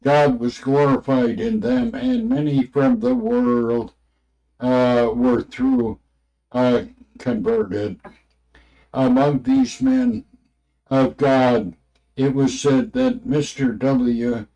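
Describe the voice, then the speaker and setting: Halting man reading aloud, gravelly and mellow. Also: medium pitch, big pitch swings, rough recording. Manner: halting, stumbling over words and names. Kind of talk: halting read-aloud of religious text.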